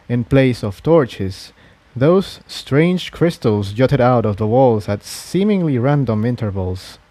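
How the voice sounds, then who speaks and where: A man's voice: mid-range voice, warm tone, no echo. A man reading a fantasy adventure story aloud as its narrator. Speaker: fan fiction narrator.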